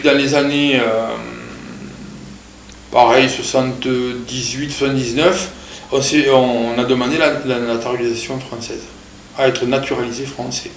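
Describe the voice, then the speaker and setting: A man in his fifties, born in Portugal, speaks at a middle pitch in a halting, unhurried way, pausing often and filling gaps with small sounds. His voice carries a noticeable room echo. A faint ambient hum sits underneath. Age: 50s. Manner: hesitant, many pauses and filler sounds. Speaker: interviewee telling his family's migration story. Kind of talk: casual spoken recollection.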